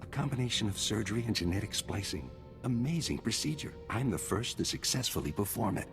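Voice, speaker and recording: A smug villainous surgeon for a video game. The voice is lowish with a heavy gravelly creak, and every line is delivered with slick, mocking politeness over prominent music. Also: male voice, lively intonation, a little fast, a bit bright. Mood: smugly pleased. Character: smug amoral male surgeon-scientist, a glib collaborator villain. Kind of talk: scripted video game cutscene acting.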